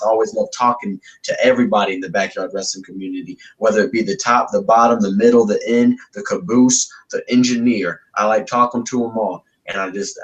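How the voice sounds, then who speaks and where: A young man with a deep voice, a backyard wrestler guest on a podcast, boasting and trash-talking in wrestling promo style. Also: rough recording. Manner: cocky, trash-talking, repeats catchphrases.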